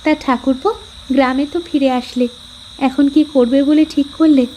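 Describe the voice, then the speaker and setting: A woman's voice: sits high, fairly even intonation, normal speed, warm tone, a little hiss or hum, close to an everyday voice. A woman voicing a kindly, polite village housewife in a cartoon story, with a homely manner.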